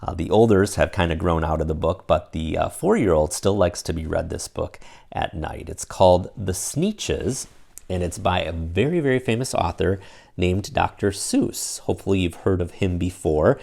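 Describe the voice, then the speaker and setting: A middle-aged man reading a children's picture book aloud, expressively, pausing to explain things to young listeners. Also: deep voice, creaky, a little mellow. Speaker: storytime reader.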